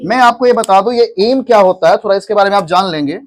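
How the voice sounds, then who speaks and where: A man teaching English in to a class, repeating each phrase slowly for students to echo. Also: sits high. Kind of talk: classroom English lesson in Hindi.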